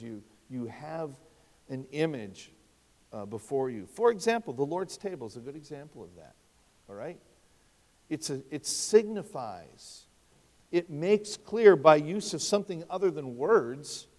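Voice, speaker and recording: Fifty-something preacher in a reverberant hall. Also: male voice, fairly high pitch. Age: 50s. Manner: conversational, rhetorical questions, wide swings in loudness. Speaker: pastor. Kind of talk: expository sermon.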